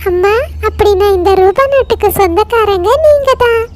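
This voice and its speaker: A woman's voice: very high-pitched, fairly quick, a little mellow, slight background noise. A woman voicing an earnest, polite young girl bird in a children's cartoon. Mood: earnest, firm. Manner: polite, questioning, firm. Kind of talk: children's cartoon story acting.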